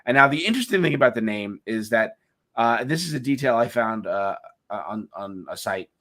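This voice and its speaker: A middle-aged man co-hosting a casual live chat, talking in a halting way with many restarts. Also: mid-range voice, animated, a bit bright. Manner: halting, many restarts and fillers, laughs easily. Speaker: co-host.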